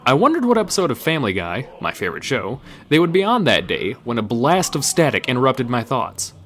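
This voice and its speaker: A man narrating a horror story aloud in a theatrical, deliberate dramatic-reading style. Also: mid-range voice, huge pitch swings, fairly bright tone.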